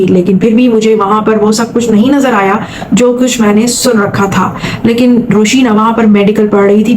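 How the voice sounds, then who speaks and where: A woman's voice: fairly high pitch, a little mellow, slight background noise, rough recording. A woman from Saudi Arabia hosting a vlog, giving commentary and retelling someone else's account. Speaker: vlog host.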